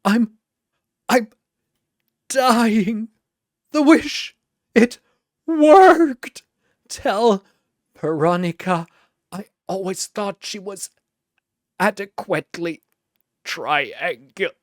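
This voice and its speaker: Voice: mid-range voice, very slow, long pauses, no room sound, studio quality, voice pushed up. A narrator voicing a manic, gleeful triangle demon with a mocking, theatrical delivery in an audio story. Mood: mock dying.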